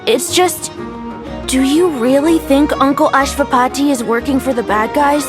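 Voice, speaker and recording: A woman's voice: high voice, normal speed, music in the background, lightly acted. A woman voicing a worried, questioning young child in a video game, earnest and hesitant. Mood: worried, unsure. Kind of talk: scripted video game voice acting.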